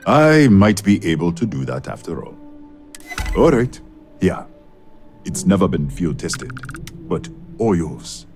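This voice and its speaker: An actor playing a helpful, weary facility worker in a video game, speaking in a casual, understated, matter-of-fact way. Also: male voice, low-pitched, very animated, slow pace, pauses often, darkish tone, faint music behind, full character voice. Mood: hesitant.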